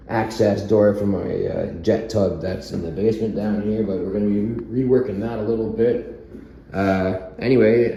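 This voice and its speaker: A hoarse man giving a relaxed, rambling walkthrough of his half-renovated basement, chuckling as he chats to his viewers. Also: fairly low pitch, roomy sound, a little hiss or hum, poor audio. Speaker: vlogger. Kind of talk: casual walkthrough chat. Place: home basement under renovation.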